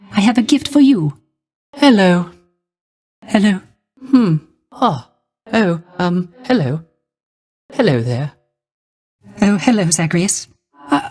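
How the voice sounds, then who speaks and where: An actor playing a gentle, melancholy young court musician's ghost in a video game, soft-spoken, courteous and hesitant, with a light, airy voice. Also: male voice, sits high. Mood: flattered, shy. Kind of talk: video game character voice acting.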